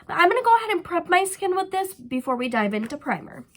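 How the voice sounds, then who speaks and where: A woman's voice: extremely high pitch, very animated, fast talker, fairly bright tone, a little hiss or hum. A young woman with a stuffy, nasal voice from a cold, chatting casually through a makeup tutorial with frequent ums and likes. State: sick with a stuffy nose. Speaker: beauty vlogger.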